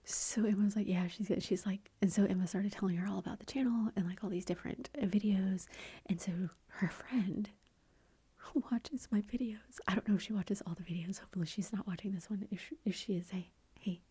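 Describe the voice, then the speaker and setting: A middle-aged woman chatting in a soft, rambling whisper for her ASMR channel, confiding and talkative. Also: medium pitch, steady tone, fairly quick, husky. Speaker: ASMR YouTuber.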